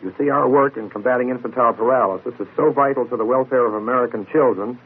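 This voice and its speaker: Earnest guy guest on old radio, talks fast, bit husky, thin tinny sound. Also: medium pitch. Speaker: guest, charity executive. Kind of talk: earnest formal radio interview answers.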